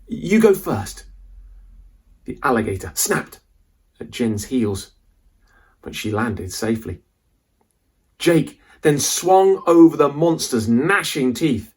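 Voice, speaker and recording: A man reading a children's adventure story aloud as a dramatic, suspenseful narrator with deliberate delivery. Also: mid-range voice, slightly rough.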